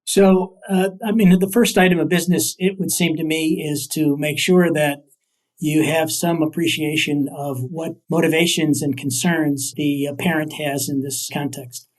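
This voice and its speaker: A man answering as an academic interview guest, building long, careful sentences with deliberate, hesitant phrasing. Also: fairly high pitch, low-quality recording.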